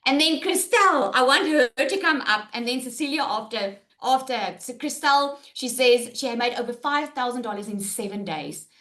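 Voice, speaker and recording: A woman's voice: high voice, expressive, normal speed, few pauses, fairly bright tone. A woman hosting a live online webinar over a video call, upbeat and chatty with her viewers. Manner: upbeat, addresses viewers as guys. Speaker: webinar host.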